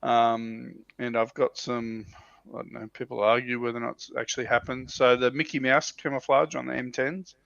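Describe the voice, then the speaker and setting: Male hobby-stream panelist, casual and hesitant, gravelly edge, dry dull recording. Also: mid-range voice, flat delivery, moderate pace, a bit bright, dry recording. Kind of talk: casual chat about miniature wargaming. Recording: dull, muffled lo-fi audio.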